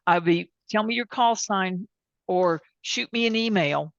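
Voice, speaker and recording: A woman gives an informal software demonstration in a plainspoken, candid way. Her voice sits at a middling pitch, and the recording is dry and somewhat dull. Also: unhurried, no echo. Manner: plainspoken, candid about what she doesn't know. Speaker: presenter and tech support. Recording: dull, band-limited sound.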